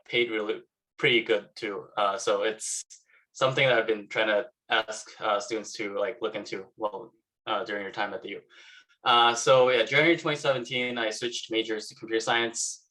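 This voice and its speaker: A young man from Malaysia gives a casual talk over slides on a video call, halting often with fillers. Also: on the low side, fairly even intonation, sharp tone, rough recording. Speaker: alumnus speaker.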